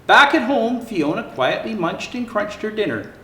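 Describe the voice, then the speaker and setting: A man reading a children's picture book aloud in an expressive, theatrical way with drawn-out emphasis. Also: high voice. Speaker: storyteller dressed as Santa Claus. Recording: roomy echo, faint background noise.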